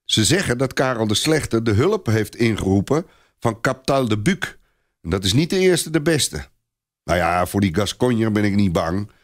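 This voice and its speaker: A man voicing a gruff, boastful army sergeant who snaps and brags in a storybook tale. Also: on the low side, very animated, normal speed.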